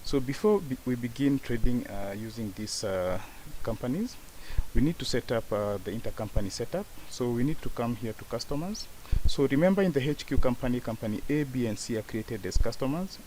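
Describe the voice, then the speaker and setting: A man narrating a software tutorial as an instructor, explaining each step in turn. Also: medium pitch, expressive, a little fast, rough recording. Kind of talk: software tutorial screen walkthrough. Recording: hissy voice-over with faint background noise.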